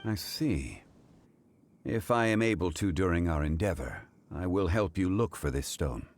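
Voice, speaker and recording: An actor playing a calm, dignified ancient god in human form who speaks formally and deliberately. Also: male voice, low-pitched, slight background noise. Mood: composed. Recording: game audio with music behind.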